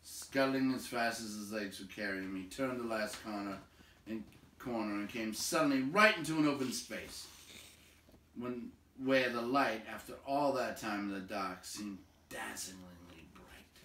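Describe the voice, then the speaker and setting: A man reading a story aloud in an expressive, deliberate, dramatic narration. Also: on the low side. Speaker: storybook reader. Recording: roomy, distant, faint background noise.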